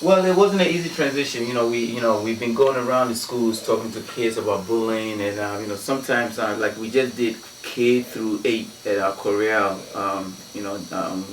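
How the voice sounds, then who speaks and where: A man's voice: fairly low pitch, normal speed, hardly any pauses. A man from Ghana, a guest in a radio studio, telling stories and using many "you know" fillers. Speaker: guest, community activist. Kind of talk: radio interview storytelling. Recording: roomy, distant mic with faint noise.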